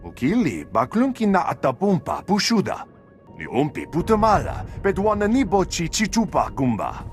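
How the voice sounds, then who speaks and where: An actor voicing an alien in a video game cutscene, declaiming theatrically in an invented alien tongue. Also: male voice, high-pitched, wildly swooping pitch, normal speed, soft background music, exaggerated voice.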